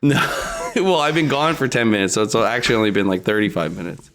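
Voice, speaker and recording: A man's voice: lowish voice, expressive, quick pace, a bit bright. A man co-hosting a casual podcast over a remote call, laughing often, swearing freely and rambling. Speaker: podcast co-host.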